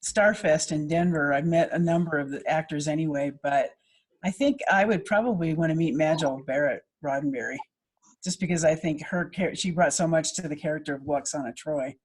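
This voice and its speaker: A woman's voice: sits low, fairly quick, breathy edge, low-quality recording. A woman talking casually as a voice actor on a live panel.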